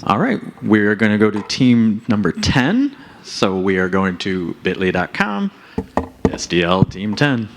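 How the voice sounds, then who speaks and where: A man emceeing a hackathon, announcing the teams briskly. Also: on the low side, very animated, background noise. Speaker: event emcee. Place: conference stage.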